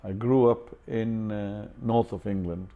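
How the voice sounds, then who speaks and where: A man's voice: lowish voice, fairly even intonation, measured pace, narrow, tinny audio. A man born in Pakistan, an author and publisher, speaking earnestly and outspokenly in an interview. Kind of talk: reflective interview talk.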